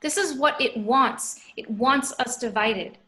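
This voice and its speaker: A woman's voice: high voice, a little slow, bright, forceful tone. A woman reading the part of a determined teenage girl at a home table read. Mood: urgent. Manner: urgent, earnest.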